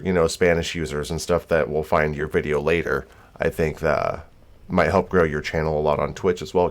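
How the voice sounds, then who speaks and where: An American man chatting casually on a game livestream in an easygoing, informal, rambling way. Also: rumbling low. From United States. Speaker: game streamer.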